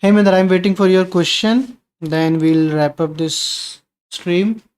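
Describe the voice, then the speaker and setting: A man's voice: sits high, fairly even intonation, measured pace, darkish tone, clean tone. Man answering chat on a stream. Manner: reads viewer questions aloud and answers conversationally. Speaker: live-coding streamer.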